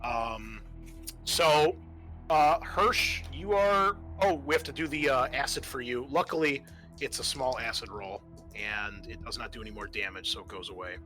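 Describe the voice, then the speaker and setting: Male game master, forceful bright tone, halting improvised narration, music behind him. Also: medium pitch. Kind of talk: narrating a tabletop roleplaying game.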